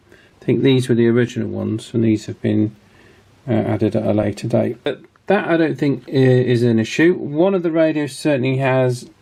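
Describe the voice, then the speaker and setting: A man's voice: mid-range voice, vocal fry, dark tone. A man hosting a casual hands-on demonstration, chatting and thinking aloud as he goes. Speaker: host of radio repair channel.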